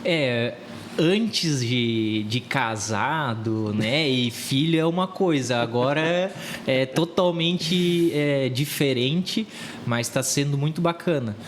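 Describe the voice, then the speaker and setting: A man's voice: mid-range voice, slow pace, slight background noise. A man in his thirties with a Rio Grande do Sul accent, a real estate agency owner telling his story as a podcast guest, often repeating words as he searches for them. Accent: Rio Grande do Sul Brazilian Portuguese. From southern Brazil. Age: 30s. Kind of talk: casual interview talk.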